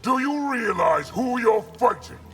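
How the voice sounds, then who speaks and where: An actor playing a brutal, arrogant horned warlord general in a video game, with a gravelly voice and an imperious, menacing delivery. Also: male voice, extremely high pitch, expressive, heavily acted. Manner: menacing, imperious, slow declamation. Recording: loud music over the voice.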